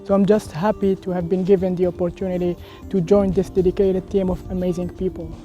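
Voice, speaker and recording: Flat-toned man, dull audio. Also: high voice, fairly quick, faint music behind. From Algeria. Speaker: student pilot. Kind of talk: testimonial interview.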